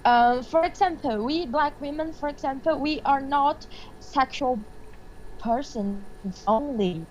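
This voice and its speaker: A Brazilian woman with a Portuguese accent, speaking as an activist guest over a remote link, halting and searching for words. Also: high voice, a little hiss or hum. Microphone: remote call link. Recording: muffled remote call line.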